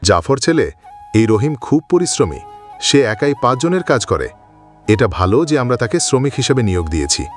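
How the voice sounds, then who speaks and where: A man voicing a gruff, dismissive landlord father in a cartoon, with a brusque, exclamatory way of talking. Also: medium pitch, big pitch swings, normal speed, soft background music, natural voice. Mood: approving. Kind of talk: cartoon dialogue acting.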